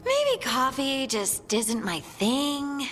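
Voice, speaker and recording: A woman's voice: high voice, huge pitch swings, very slow, a bit bright, big-room sound, soft background music, exaggerated voice. An actress playing an eager-to-please young dwarven woman in a video game, speaking hesitantly and apologetically. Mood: reflective. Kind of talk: video game cutscene acting.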